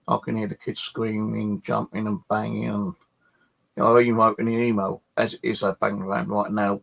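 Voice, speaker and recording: A man's voice: on the low side, gravelly, fairly bright tone, slightly rough. A man chatting casually and ramblingly about his own life, grumbling and swearing in a colloquial way. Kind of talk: casual rambling personal chat.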